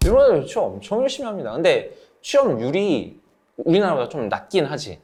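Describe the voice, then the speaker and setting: A man's voice: fairly high pitch, big pitch swings, fast talker, darkish tone, breathy edge. A man in his thirties from South Korea telling personal stories in a casual group chat. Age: 30s. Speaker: channel member sharing study-abroad experience.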